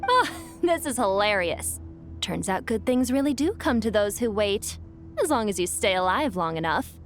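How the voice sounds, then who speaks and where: Woman as lively, mocking ancient bottle spirit. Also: medium pitch, moderate pace, faint music behind, natural voice. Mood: gleeful mockery. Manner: taunting, grandiose. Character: ancient mocking female spirit in a magic bottle, gloating, haughty. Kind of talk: video game character acting.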